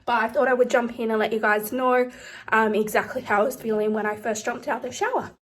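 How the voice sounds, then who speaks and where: A high-voiced woman chats through a casual product review vlog, with plenty of ums and likes. She sounds slightly nasal from a recent chest infection. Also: fairly even intonation, fast talker. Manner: chatty, lots of ums and likes. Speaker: beauty vlogger.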